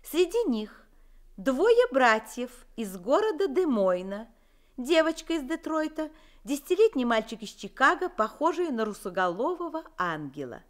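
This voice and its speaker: A formal radio news announcer reads a bulletin. Her voice is high with lively pitch. The delivery is measured and official.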